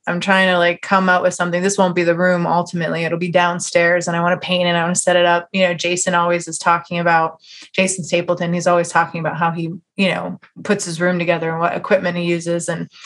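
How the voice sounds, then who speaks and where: A woman with a fairly low voice talks as a podcast host. Her delivery is casual and slangy, animated and informal, like chatting with a friend. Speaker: podcast host and tattoo artist. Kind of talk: casual interview chat.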